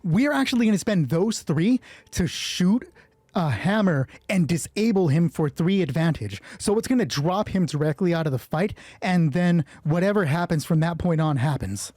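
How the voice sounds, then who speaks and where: A game master running a solo tabletop roleplay, thinking aloud and narrating in a sweary, excitable way. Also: medium pitch, normal speed, no echo.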